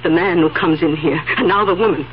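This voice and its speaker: An actress playing a confused, stubborn, fretful elderly aunt in a 1970s radio drama, hesitant and stammering yet insistent. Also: female voice, lowish voice, brisk, soft background music, close to an everyday voice. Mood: indignant, insistent. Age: older. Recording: dull old radio recording, faint hum.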